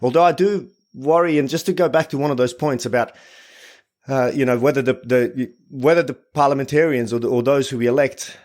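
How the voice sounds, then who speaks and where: A man from Bosnia hosting a podcast interview, asking long questions in a hesitant, halting way. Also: mid-range voice, fairly even intonation, a little mellow. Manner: hesitant, halting, many fillers and restarts. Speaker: podcast host.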